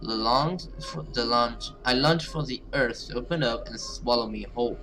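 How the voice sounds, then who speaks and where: A man's voice: mid-range voice, moderate pace, music in the background, poor audio. A man hosting a gaming video, reading story text aloud dramatically and reacting with casual asides.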